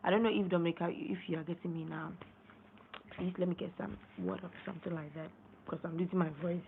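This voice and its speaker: A young woman with a hoarse, gravelly, low-set voice, talking loosely on a late-night live stream. She rambles, repeats words and keeps clearing her throat. The sound is thin and phone-like, with a faint hiss behind her. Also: steady tone, fast talker. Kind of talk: casual live-stream talk. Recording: tinny phone-line sound, faint noise.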